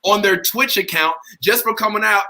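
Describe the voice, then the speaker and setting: A man's voice: high voice, moderate pace, crowd noise. An American man hosting a casual chat, talking in a slangy way and putting questions to his guests. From St. Louis, Missouri, US. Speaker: podcast host.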